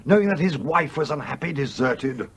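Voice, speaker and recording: An actor playing the gruff, blunt ghost of a sea captain in a radio play, with a British accent and emphatic, old-fashioned speech over background noise. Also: male voice, a little high, lively intonation, normal speed, heavily acted. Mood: scolding. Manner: blustery, emphatic, old-fashioned turns of phrase.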